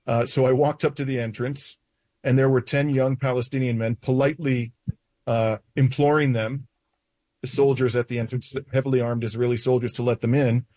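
A man in his sixties with a North American accent recounts events in an interview, pausing often with uhs and restarts. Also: medium pitch, little pitch movement, dark tone, no room sound. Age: 60s. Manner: halting, with frequent uhs and restarts. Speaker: interview guest.

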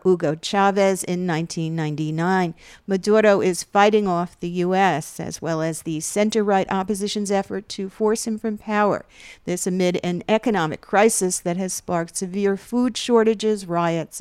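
Female news anchor, low-ish smooth voice, dry studio sound, steady delivery. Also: moderate pace, no room sound. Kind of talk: scripted news reading. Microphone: studio mic.

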